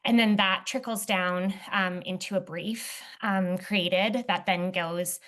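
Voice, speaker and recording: A young woman guest in an interview, talking casually with many fillers and restarts, her voice slightly hoarse. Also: on the low side, steady tone, slow, fairly bright tone. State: slightly hoarse, clearing throat. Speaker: footwear testing lead, interview guest.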